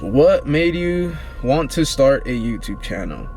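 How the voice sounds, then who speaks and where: A man in his twenties, vlogging in a relaxed, rambling, slangy way, answering questions about himself. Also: mid-range voice, measured pace, slight background noise. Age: 20s. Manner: laid-back, rambling, slangy. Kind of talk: casual get-to-know-me chat.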